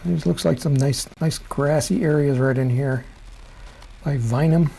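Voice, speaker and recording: Gravelly, mellow-voiced man demoing software. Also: a little high, measured pace, poor audio. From Chicago. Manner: rambling, self-correcting, chuckles at himself. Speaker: rocketry software presenter. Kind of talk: casual screen-share demo.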